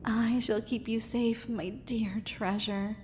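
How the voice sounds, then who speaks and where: Slow, echoing, adoring mermaid played by a woman. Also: fairly high pitch, steady tone, a little mellow, slight background noise. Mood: protective, possessive. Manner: formal, flowery, coaxing, intimate. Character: possessive, adoring female angler mermaid, dangerous and tender. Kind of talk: ASMR romance roleplay.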